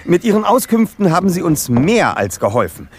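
A man's voice: sits high, sing-song, brisk. An actor playing a clever, self-assured boy detective in an audio drama, speaking eloquently and precisely with a slightly pompous manner. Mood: polite, businesslike. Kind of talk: German audio drama acting.

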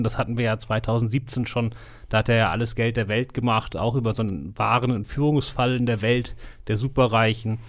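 A man's voice: mid-range voice, no echo. A man co-hosting a film podcast in a studio, talking casually with wry, teasing remarks. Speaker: podcast co-host.

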